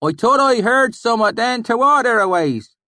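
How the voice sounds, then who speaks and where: A man voicing a wary, weathered old swineherd in an audiobook, with a thick rural dialect and a loud, hearty manner. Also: lively intonation, moderate pace, dry recording, studio quality, full character voice. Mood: wary shouting. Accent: thick rural backwoods dialect. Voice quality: shouting, hearty, high-pitched. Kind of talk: audiobook character voice.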